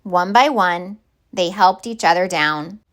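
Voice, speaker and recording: A woman reading a children's picture book aloud in deliberate, expressive narration. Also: lowish voice. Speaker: storybook reader.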